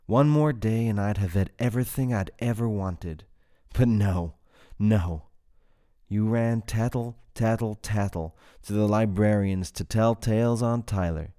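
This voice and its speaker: A man's voice: lowish voice, a little slow, smooth, close to an everyday voice. A man doing a bitter, menacing unhinged writer. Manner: flat, mocking, menacing.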